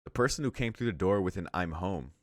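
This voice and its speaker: A man hosting a visual novel read-along, switching between narrating the text aloud and casual, halting reaction commentary. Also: sits low, animated, dry recording, clean studio sound. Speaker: let's-play host reading a visual novel aloud and reacting.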